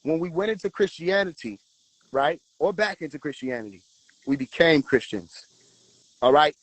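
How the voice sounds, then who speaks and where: High-voiced preachy man lecturing his viewers. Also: normal speed, no room sound, rough recording. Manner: forceful, preachy, addresses viewers directly. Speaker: livestream host. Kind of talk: casual livestream talk.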